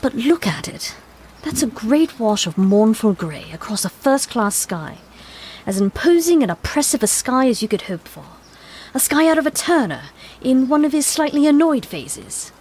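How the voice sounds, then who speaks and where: A woman's voice: fairly high pitch, sing-song, moderate pace, darkish tone, breathy edge, low-quality recording. A woman playing an eccentric, relentlessly enthusiastic time-traveling doctor in an audio drama, grandiose, playful and wordy. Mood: whimsical, enthusiastic.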